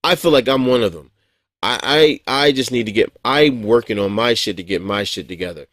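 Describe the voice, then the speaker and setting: A man's voice: medium pitch, a little fast, a bit bright, no room sound. Guy in his 40s just rambling on his vlog, swears a lot, keeps restarting, chuckles here and there, dry close sound. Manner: blunt, profane, frequent chuckles and restarts. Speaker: vlogger. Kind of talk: casual rambling monologue.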